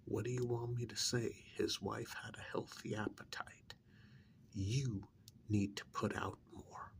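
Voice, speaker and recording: A man playing a stern, secretive government handler in an ASMR role-play, speaking softly in a pointed, reprimanding, deliberate way. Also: on the low side, fairly even intonation. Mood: stern, scolding. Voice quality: breathy near-whisper with gravelly creak. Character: stern, secretive male government handler scolding an undercover agent.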